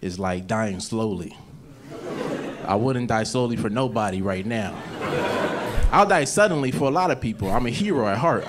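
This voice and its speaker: A man with an American accent telling stand-up stories into a stage mic. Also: medium pitch. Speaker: stand-up comedian. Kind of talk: stand-up storytelling. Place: indoor comedy club stage. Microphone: handheld stage mic. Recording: noisy live club recording, played back.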